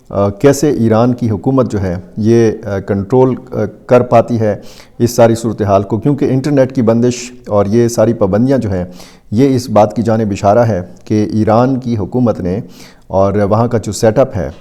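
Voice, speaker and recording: A man with a Pakistani accent giving unscripted news analysis to viewers, speaking deliberately and haltingly with frequent drawn-out hesitation sounds. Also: fairly low pitch, warm tone. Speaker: news commentator.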